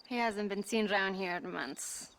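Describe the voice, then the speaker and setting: A woman's voice: medium pitch, a little slow, fairly bright tone, a little hiss or hum. An actress playing a tough, unimpressed frontier woman in a game cutscene, mocking and scornful. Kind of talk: video game cutscene acting.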